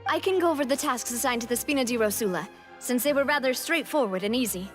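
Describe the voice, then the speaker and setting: A woman's voice: a little high, fast talker, close to an everyday voice. An actress playing a confident, frank young woman leader in a video game, explaining in an orderly, assured way. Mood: assured, explanatory. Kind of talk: video game character acting. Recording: music behind the voice.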